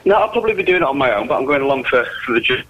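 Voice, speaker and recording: Middle-aged man, husky, brisk, easygoing phone-in guest, muffled phone audio. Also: medium pitch, sing-song. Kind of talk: interview answers with practical tips. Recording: muffled telephone line into radio.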